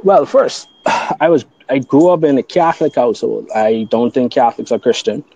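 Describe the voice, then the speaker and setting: A man from the Bahamas talks through his beliefs in a relaxed podcast chat, earnest and thorough, circling back and restarting as he thinks. His voice is dark and mellow. The recording is a little dull and lo-fi. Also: medium pitch, moderate pace, creaky, no echo. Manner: earnest, explains at length with restarts. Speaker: guest. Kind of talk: casual podcast conversation.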